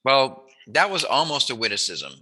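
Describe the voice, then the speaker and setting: A man's voice: mid-range voice, wildly swooping pitch, normal speed, pushed and bright, a little hiss or hum. A man hosting a casual chat interview, talking in a playful, jokey, musing way. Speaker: interviewer, host.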